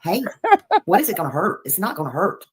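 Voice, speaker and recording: A woman with a Southern US accent and a distinctly low voice. She chats casually as a livestream host, rambling in a folksy, polite manner as she addresses her viewers. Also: sing-song, brisk, slightly rough. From Nashville, United States.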